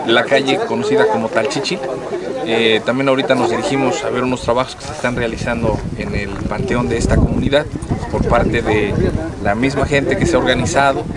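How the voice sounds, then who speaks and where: A man in his thirties with a Mexican accent, giving a public speech outdoors as a municipal president, with many filler words. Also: medium pitch. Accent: Mexican Spanish. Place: outdoors at a rural dirt road. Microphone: lapel mic.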